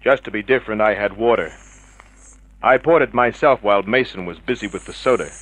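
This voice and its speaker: A man playing a wry, tough private eye, deadpan and wisecracking, in a hard-boiled radio drama narration. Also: lowish voice, fairly quick. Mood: wry, narrating. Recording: dull vintage radio drama recording.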